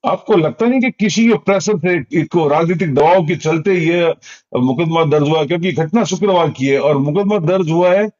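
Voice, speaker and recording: A man's voice: fairly high pitch, normal speed, darkish tone. A man working as a journalist, asking long-winded, repetitive questions in a phone interview. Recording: dull, muffled phone call recording.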